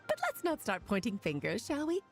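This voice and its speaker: Wry, animated scholar woman. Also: a little high, brisk. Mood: wry, evasive. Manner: lecturing, wry, theatrical. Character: pedantic, sly female scholar explaining divine lore. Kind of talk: video game voice acting. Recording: music behind the voice.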